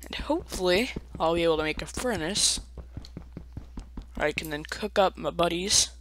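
A man's voice: a little high, normal speed, a bit bright, slight background noise, low-quality recording. A young man casually commenting on his own gameplay, chatty and self-mocking, with playful asides. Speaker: let's play gamer.

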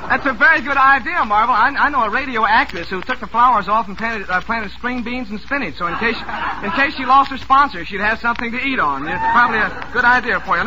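A man's voice: sits high, dull audio. A man hosting a 1940s radio music quiz, jovial and teasing as he reads out listeners' questions. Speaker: quiz show host. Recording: noisy old radio broadcast.

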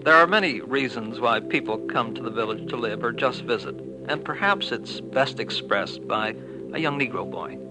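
A man's voice: medium pitch, normal speed, music in the background, rough recording. A man delivering scripted narration for a 1960s radio documentary.

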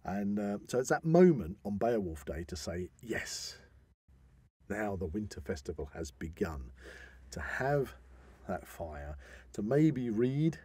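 Middle aged british guy chatting on a podcast, bit husky, dry close sound, really into it. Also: fairly low pitch, big pitch swings, slow, warm tone, no echo. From United Kingdom. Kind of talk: casual podcast chat.

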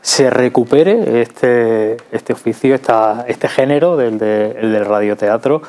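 A man's voice: medium pitch, takes it slowly, darkish tone. A middle-aged man with an accent from Spain hosting a studio interview in a conversational, hesitant way, often checking with tag questions. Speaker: TV culture show host. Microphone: lapel mic.

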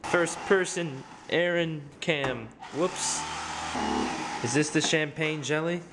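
A man's voice: fairly high pitch, slow, background noise. A young man bantering goofily with friends in a home kitchen, caught on a handheld camera. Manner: teasing, goofy. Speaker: guest. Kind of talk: casual chat.

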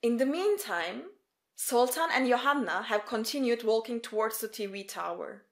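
Higher-pitched woman narrating a story. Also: lively intonation, moderate pace. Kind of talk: scripted documentary story narration.

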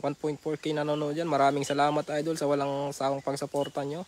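A man with a Filipino accent chatting casually as he reads out shout-outs and thanks livestream viewers. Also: on the high side, monotone, quick pace. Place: outdoors on a grassy waterside bank. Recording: clearly noisy outdoor phone recording.

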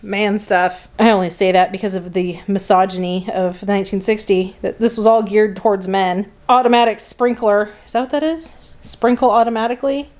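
A woman giving casual, sardonic running commentary and reading printed copy aloud in a conversational way. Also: mid-range voice. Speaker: host of a catalog flip-through series.

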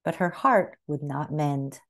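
A woman reading a children's picture book aloud as narrator, with expressive, carefully phrased storytelling. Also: low-pitched, unhurried.

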